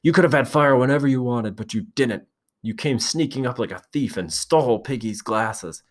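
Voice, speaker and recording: A man voicing an earnest boy chief who speaks loudly and insistently. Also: fairly low pitch, expressive, normal speed, voice pushed up. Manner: forceful, insistent.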